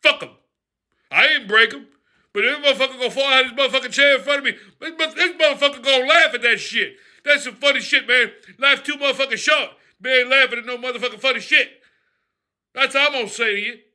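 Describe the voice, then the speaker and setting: A man playing a profane, loudmouthed character who rants and shouts in a comedy bit. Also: very high voice, fast talker, voice pushed up, heavily acted. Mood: ranting. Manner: profane ranting, shouting, mocking. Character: foul-mouthed loudmouth man named Crazy Rufus.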